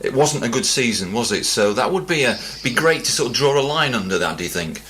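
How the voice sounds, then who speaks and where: A man's voice: medium pitch, lively intonation, fairly quick, fairly bright tone, a little hiss or hum. A man working as a radio interviewer, putting questions conversationally in a tentative, roundabout way.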